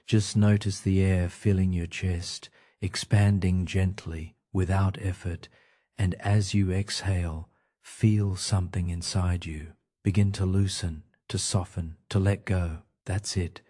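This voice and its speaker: A man guides a sleep meditation in a very low, dark and relaxed voice. He speaks very slowly and soothingly, in short, gentle phrases with space left between them. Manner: slow, gentle, soothing delivery with pauses.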